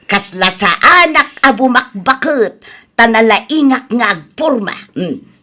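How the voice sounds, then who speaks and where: A woman's voice: a little high, expressive, unhurried, sharp tone. A woman with an Ilocano accent playing a nagging, dramatic older mother in a comedy drama, exclamatory and teasing.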